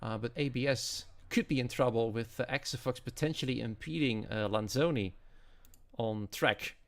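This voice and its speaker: A man's voice: mid-range voice, moderate pace. A man with a Dutch accent, speaking English as a non-native, giving live commentary as an esports racing commentator. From Netherlands.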